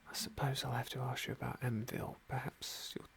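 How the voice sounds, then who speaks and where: A man giving gentle, musing ASMR roleplay commentary on a game in a soft, breathy whisper. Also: mid-range voice, little pitch movement, brisk. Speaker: game playthrough host.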